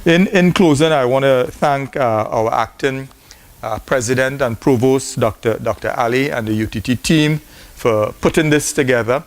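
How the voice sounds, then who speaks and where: A man's voice: medium pitch, moderate pace. A man giving a formal, earnest closing address at a university forum. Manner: earnest, exhorting.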